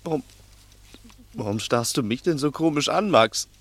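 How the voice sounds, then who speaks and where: A man's voice: mid-range voice, animated, moderate pace, a bit bright, no echo. A male actor playing a nervous, resentful small-time crook in an agitated, insistent way in a 1960s German radio play. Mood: anxious. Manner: agitated, insistent, repeating himself.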